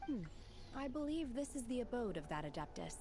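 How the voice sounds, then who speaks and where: A woman voicing a calm, serious female warrior in a video game, speaking in a composed, formal way. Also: on the high side, brisk. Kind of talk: game dialogue. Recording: game audio with music.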